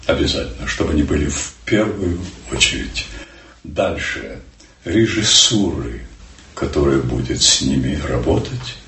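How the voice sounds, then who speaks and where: An older man from Ukraine, a stage actor, telling stories in an unhurried, emphatic way during an interview. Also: sits low, fairly bright tone, reverberant, far from the mic, a little hiss or hum. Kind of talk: reflective interview answers.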